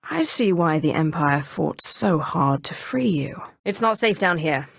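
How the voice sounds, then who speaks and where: A woman voicing an imperious, cunning young Sith sorceress in a video game, with a haughty, deliberate, theatrical delivery. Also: deep voice, expressive, vocal fry, no room sound, studio quality, exaggerated voice. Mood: cool menace.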